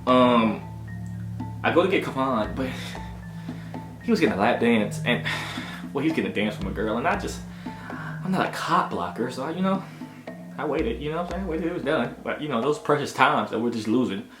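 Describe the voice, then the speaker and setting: A man in his twenties tells a story casually, with mid-pitched voice and animated, slangy delivery. He peppers it with conversational filler phrases. The room is echoey and music plays clearly behind him. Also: fairly quick. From Augusta, United States. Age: 20s. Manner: animated, slangy, punctuated with "you know what I'm saying". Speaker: storytelling vlogger. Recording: roomy echo with music behind. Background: background music.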